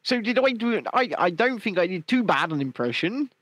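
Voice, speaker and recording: A man's voice: high voice, big pitch swings, moderate pace, a bit bright, dry recording. A man hosting a podcast, chatting casually, teasing his co-host, swearing freely and laughing a lot.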